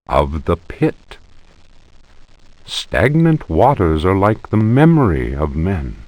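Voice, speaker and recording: A man's voice: low-pitched, wildly swooping pitch, takes it slowly, darkish tone, dry recording, heavily acted. A man playing a grave narrator reading an ominous occult manuscript aloud in a solemn, theatrical game performance. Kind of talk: dramatic scripted reading of arcane text.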